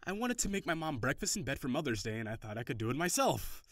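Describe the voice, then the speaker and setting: A man playing an overworked office boyfriend in an audio roleplay. He sighs often, curses when frustrated and softens into affection. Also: medium pitch, very animated, quick pace, no room sound, clean studio sound. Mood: playful, teasing. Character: stressed, overworked office boyfriend, gruff but tender. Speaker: voice actor.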